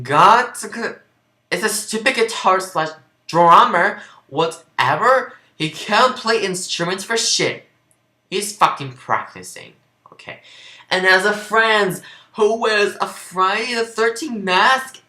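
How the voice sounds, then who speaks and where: A woman's voice: fairly high pitch, pushed and bright. A woman giving a mocking amateur dramatic reading of fan fiction, breaking off into sarcastic asides. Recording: roomy, echoing home recording.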